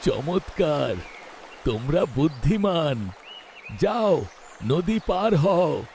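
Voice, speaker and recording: A man's voice: fairly high pitch, very animated, drawn-out words, vocal fry, darkish tone, a little hiss or hum, full character voice. A man voicing a commanding, territorial animal king of the jungle in a children's cartoon, with an imperious manner. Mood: approving.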